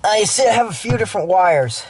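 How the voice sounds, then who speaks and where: A man with a notably high-pitched voice talks through a do-it-yourself car job in an informal, hands-on way. He sounds like he is working as he speaks, recorded inside a vehicle with some background noise. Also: animated, brisk. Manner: informal, talking while working with his hands. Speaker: DIY car installation vlogger. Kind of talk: casual hands-on how-to narration. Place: inside a Jeep, handheld camera.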